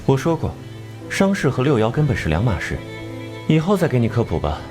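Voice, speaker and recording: An actor playing an aloof young genius detective who explains things in a measured, condescending way in a Chinese animated drama. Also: male voice, medium pitch. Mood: dryly condescending. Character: cool, aloof male genius detective and occult scholar. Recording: music behind the voice.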